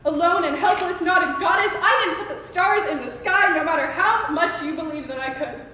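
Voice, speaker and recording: A teenage woman reciting slam poetry with dramatic, deliberate phrasing in an echoing hall. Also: extremely high pitch, bright, forceful tone. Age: teens. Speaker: student poet. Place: school open mic performance in a reverberant room. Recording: echoey hall with faint noise.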